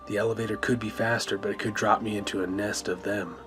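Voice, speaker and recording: A man narrates a horror radio play in the first person. His lowish voice stays even and measured, with little rise or fall, over clearly audible music. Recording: music bed under narration.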